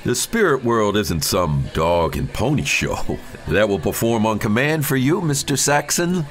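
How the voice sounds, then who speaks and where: Man playing a solemn ghost hunter, swinging pitch. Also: very animated, measured pace. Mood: indignant. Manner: earnest, grave, indignant. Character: defensive, solemn, uneasy male ghost hunter with thinning hair. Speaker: character actor in an audio horror story. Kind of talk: acted horror audio drama dialogue.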